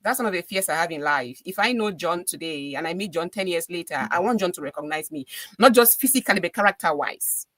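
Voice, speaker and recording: Cameroonian lady on a podcast, kinda low voice, earnest, dry close sound. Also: lively intonation, a little fast, a bit bright, no echo. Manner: earnest, repeats phrases for emphasis. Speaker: podcast guest, radio DJ. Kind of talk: casual interview conversation.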